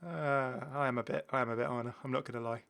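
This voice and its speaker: A man's voice: medium pitch, fairly even intonation, fast talker, gravelly, a bit bright, clear, resonant tone. A man hosting a gaming video in a casual, chatty commentary, rambling and repeating his phrases. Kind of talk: casual commentary on game cosmetics.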